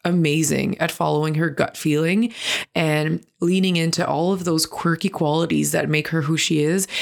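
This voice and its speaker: A woman's voice: low-pitched, vocal fry. A woman with a Quebec accent hosting a podcast, giving a long earnest intro with fillers. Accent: Quebec French. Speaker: podcast host.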